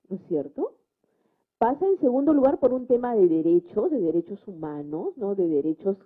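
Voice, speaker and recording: On a tinny, narrow-band recording, a woman with a somewhat high, slightly husky voice makes spontaneous remarks in a public debate. She feels her way through each thought and keeps adding small questions for agreement. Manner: reflective, halting, seeks agreement with tag questions. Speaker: debate participant. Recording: muffled narrow phone-like sound.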